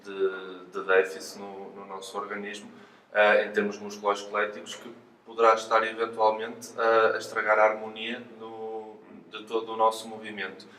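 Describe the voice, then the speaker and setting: A man's voice: on the low side, completely flat delivery, moderate pace, pushed and bright. A man with a European Portuguese accent explains things as an interviewed physiotherapist, hesitating and repeating words as he goes. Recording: roomy echo, distant mic, faint noise.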